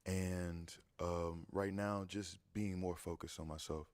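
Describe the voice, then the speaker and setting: A man answering questions as a dating show contestant in a calm, earnest way. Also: low voice, slow pace, no echo.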